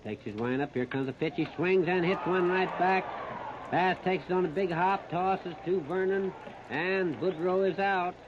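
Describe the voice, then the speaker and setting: A man's voice: sits high, normal speed. Muffled 1930s American baseball radio man. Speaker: baseball radio play-by-play announcer. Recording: muffled, noisy 1930s radio disc recording.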